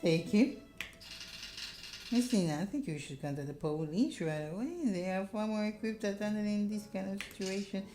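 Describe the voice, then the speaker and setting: A woman voicing a polite, concerned male private investigator in a horror visual novel, asking measured questions. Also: medium pitch, warm tone, faint music behind, pitched above the performer's own voice, lightly acted. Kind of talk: visual novel character read-aloud.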